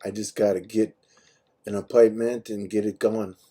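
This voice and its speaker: A man's voice: lowish voice, flat delivery, moderate pace, dark tone, breathy edge. A man with an American accent chatting casually on a phone call in a laid-back, matter-of-fact way, in short plain sentences. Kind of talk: casual personal phone chat.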